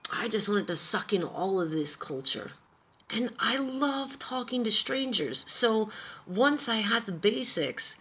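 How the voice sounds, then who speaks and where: A chatty American woman with a slightly low voice, telling her story straight to the camera. She talks emphatically and tosses in lively little asides as she goes. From Pennsylvania, USA. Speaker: vlogger. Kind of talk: casual storytelling monologue.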